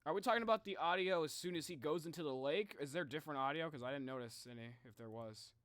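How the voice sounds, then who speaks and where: A young man chatting casually to viewers on a livestream in an informal, animated way. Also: a little high, quick pace, no echo. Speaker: live streamer.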